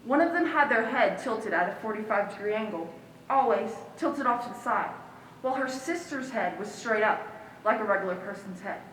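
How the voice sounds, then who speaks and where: A woman's voice: mid-range voice, expressive, normal speed, a bit bright, natural voice. Actress as a quirky young woman in echoey hall. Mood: earnest, indignant. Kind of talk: comic theatrical stage monologue. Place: stage in a hall. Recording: echoey hall from far off, faint noise.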